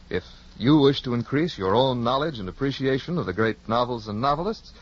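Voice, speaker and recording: An American man works as a 1950s radio announcer. His delivery is formal and scripted, with animated intonation and a husky grain. The recording is dull, like an old broadcast. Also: mid-range voice.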